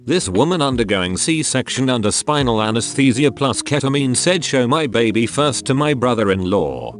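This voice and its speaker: A man's voice: mid-range voice, sing-song, normal speed. A man reading out forum stories as narration over background music. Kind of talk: read-aloud narration.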